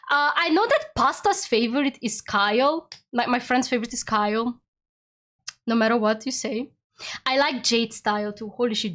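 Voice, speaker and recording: Giggly young woman streamer. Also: fairly high pitch. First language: Hungarian. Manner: giggly, rambling, talks in quick excited bursts. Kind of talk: casual chat with viewers during a game stream.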